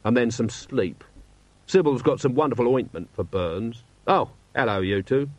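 A man's voice: medium pitch, expressive, moderate pace, darkish tone, no echo, lo-fi sound, close to an everyday voice. A man voicing a weary, dry-humoured male watch commander in a storybook, battered and muttering. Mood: exhausted. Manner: wry, tired, muttering. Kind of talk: audiobook character voice.

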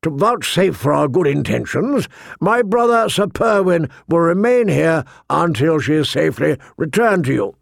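A man's voice: a little high, big pitch swings, moderate pace, vocal fry, dry recording, clean studio sound. Audiobook part, male reader: elderly knight envoy, sixties, weary, weaselly, unfailingly polite and formal. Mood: smooth, formal. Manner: courteous, formal. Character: polite old knight envoy past sixty, tired, weaselly.

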